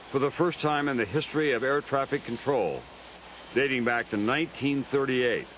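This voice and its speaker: A man's voice: medium pitch, a bit bright. A man narrating a news documentary in a scripted reporter's style. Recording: dull lo-fi audio, faint noise.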